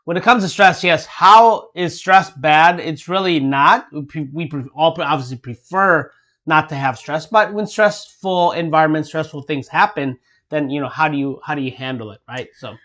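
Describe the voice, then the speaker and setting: A man's voice: fairly high pitch, moderate pace, bright, forceful tone. A man co-hosting a casual parenting chat, talking emphatically and often ending points with a question. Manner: emphatic, frequent tag questions. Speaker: co-host, father.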